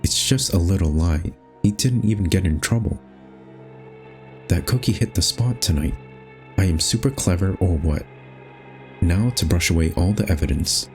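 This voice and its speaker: A man reading scripted, storybook-style narration for a horror game. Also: low voice.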